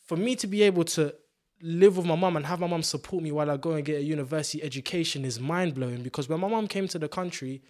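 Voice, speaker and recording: A man's voice: on the high side, fast talker, darkish tone. A young man from the UK, talking about family and migration in a casual, earnest and reflective way. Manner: earnest, reflective, trails off and restarts. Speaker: podcast guest. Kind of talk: casual chat.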